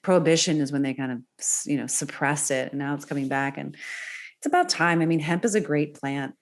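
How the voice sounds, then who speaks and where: A woman's voice: sits low, brisk. A middle-aged woman from Northern Virginia, chatting enthusiastically as a podcast guest and laughing easily. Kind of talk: casual conversation.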